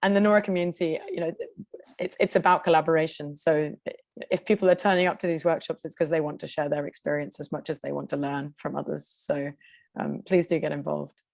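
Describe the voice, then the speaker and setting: A woman with an English accent, speaking quickly with many fillers and restarts as she presents and answers questions on a video call. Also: sits low, steady tone, creaky, a little mellow, dry recording. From Denmark. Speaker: conference presenter. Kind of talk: webinar presentation and Q&A.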